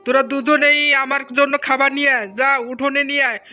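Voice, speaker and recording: A man plays a put-upon village fisherman in a cartoon fairy tale. The voice is very high for a man and bright, with little rise and fall, complaining and scolding over music. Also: little pitch movement, fast, exaggerated voice. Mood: bossy. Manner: complaining, scolding, plain rustic speech. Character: exasperated, put-upon village fisherman with two quarrelling wives.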